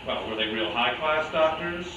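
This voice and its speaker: A man's voice: fairly high pitch, lively intonation, normal speed, distant mic, highs cut off, close to an everyday voice. Man acting probing interrogator, echoey, noisy room. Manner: probing, pointed. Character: persistent, skeptical interrogator of a war criminal. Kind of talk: staged play reading. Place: indoor room at a live staged reading, distant mic.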